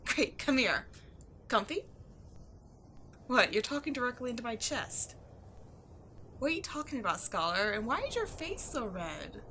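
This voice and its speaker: A woman plays a giant female sphinx guarding an ancient temple in an audio roleplay. Her voice is fairly high and bright, with a playful, teasing and flirty way of talking straight to the listener. There is noticeable noise behind her. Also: sing-song, unhurried, long pauses, heavily acted.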